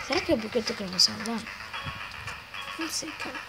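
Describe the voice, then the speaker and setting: A young woman with a Brazilian accent, hoarse, rambling casually as she comments on her own gameplay. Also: on the high side, lively intonation, fast talker, low-quality recording. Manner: rambling slangy talk, full of fillers. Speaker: gaming channel host. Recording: game music playing behind.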